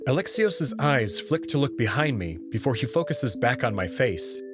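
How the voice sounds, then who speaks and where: A man's voice: medium pitch, sing-song, normal speed, over music. A man reading a visual novel aloud in first person, moving between narration and dialogue. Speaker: narrator and player reader.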